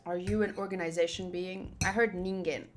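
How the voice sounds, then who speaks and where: A woman streaming a visual novel playthrough, reading the text aloud with playful, expressive, casual reactions and some swearing. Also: on the low side, fairly quick, slight background noise. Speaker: game streamer.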